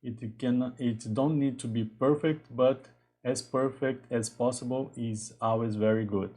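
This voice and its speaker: A man in his thirties from Brazil with a Brazilian Portuguese accent, talking casually on a live stream in a halting way with frequent restarts. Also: medium pitch, fairly even intonation, warm tone. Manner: halting, restarts often, greets viewers. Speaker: engineer hosting a live stream.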